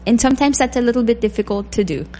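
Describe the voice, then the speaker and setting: A woman, a doctor answering questions in a radio interview in a measured, thoughtful way with frequent pauses. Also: a little high, animated, dark tone, a little hiss or hum. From Philippines. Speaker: guest physician.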